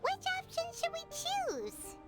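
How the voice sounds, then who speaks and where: Game sidekick a tiny excitable fairy: childlike squeak, hugely animated pitch, unhurried pace. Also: female voice, exaggerated voice. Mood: curious. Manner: exclamatory, chatty, theatrical. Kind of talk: scripted video game character acting. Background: background music.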